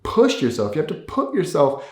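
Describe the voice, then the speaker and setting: A man's voice: a little high, huge pitch swings, a little fast, a little mellow. A man, a native English speaker, talks casually and explains things to viewers, repeating key words for emphasis. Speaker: video creator and host. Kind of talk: casual explanatory talk to camera. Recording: echoey room.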